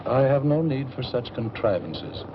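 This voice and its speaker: An actor playing a courteous, cryptic older seer in a 1940s noir film, speaking in a formal, measured, enigmatic way. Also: male voice, mid-range voice, expressive, background noise, natural voice. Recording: dull old film soundtrack with music behind.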